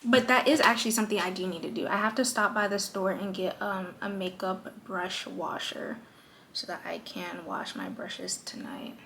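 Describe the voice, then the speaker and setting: A woman's voice: medium pitch, normal speed, vocal fry, sharp tone, a little hiss or hum. A young woman vlogger chatting playfully through her everyday makeup routine at her vanity, with joking asides. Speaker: lifestyle vlogger. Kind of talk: casual chatty makeup tutorial.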